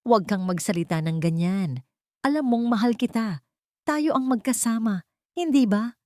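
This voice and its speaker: A woman's voice: fairly high pitch, expressive, normal speed, dark tone, no echo, voice pushed up, close to an everyday voice. A manipulative, menacing boyfriend, played by a female narrator, speaks with insistent threat in a dramatic audiobook. The voice is possessive and controlling, recorded dry.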